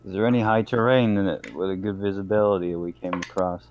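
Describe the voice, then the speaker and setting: A man playing a tabletop roleplaying game, talking casually in a halting, informal way. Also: low voice, darkish tone, lo-fi sound, a little hiss or hum. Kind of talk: casual chat during a game.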